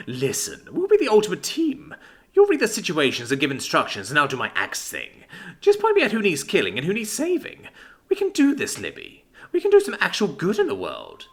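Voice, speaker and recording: A man voicing Max, a brash, impulsive young man with an axe who talks loud and eager. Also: on the high side, huge pitch swings, fairly quick, pitched above the performer's own voice. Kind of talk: audiobook character voice.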